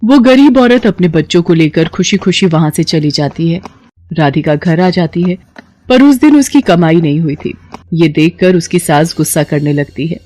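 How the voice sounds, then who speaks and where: A woman's voice: lowish voice, normal speed, dark tone. A woman narrating a scripted story as its storyteller.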